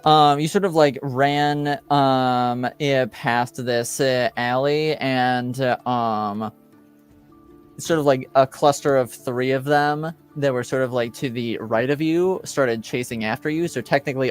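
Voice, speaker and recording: A man improvising narration as game master of a tabletop game, in halting, hesitant phrases. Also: medium pitch, clear, resonant tone, soft background music.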